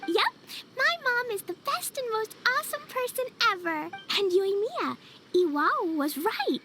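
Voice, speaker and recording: An innocent, excitable little girl adventurer in an animated game. The voice is extremely high and swoops about, with slow, earnest, childlike phrasing. Music runs behind it. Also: full character voice. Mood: cheerful, proud. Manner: childlike, earnest, wide-eyed. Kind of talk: animated video game voice acting.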